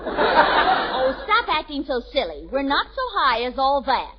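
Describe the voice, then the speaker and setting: A woman's voice: high-pitched, big pitch swings, a little slow, over a noisy crowd. A woman playing an exasperated mother in 1940s radio comedy, scolding and fussing. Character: exasperated young mother of a bratty boy. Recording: noisy lo-fi radio with loud studio audience.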